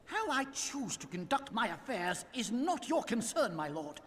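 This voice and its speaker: A man's voice: very high-pitched, big pitch swings, a little slow, full character voice. A man playing a principled, earnest councillor in a video game, speaking formally and emphatically. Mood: indignant. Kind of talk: theatrical video game voice acting. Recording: loud noise, reverberant hall.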